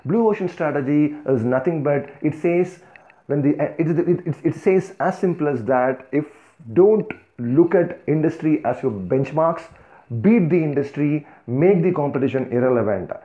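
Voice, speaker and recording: A man's voice: a little high, expressive, normal speed, dark tone. A man from Tamil Nadu with a Tamil-flavored Indian accent, giving a free-flowing talk over slides, thinking aloud with many fillers and restarts. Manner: thinking aloud with frequent "uh" and "you know", restarts and emphatic lists. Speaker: organization founder giving a talk. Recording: tinny phone-like audio.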